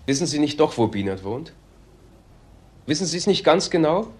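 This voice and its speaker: An actor playing a blunt male police detective in a crime drama, husky and pointed in his questioning. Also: on the high side, very animated, moderate pace, heavy background noise. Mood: accusing. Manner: pointed, direct. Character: blunt, accusing junior police detective, man.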